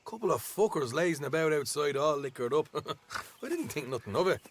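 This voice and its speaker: A brash young Irishman riding with outlaws in a Western game. His slightly high voice bounces along with a lively lilt, talkative, cheeky and folksy in an Irish accent. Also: normal speed, a little hiss or hum. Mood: boastful, chatty. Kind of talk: video game cutscene acting.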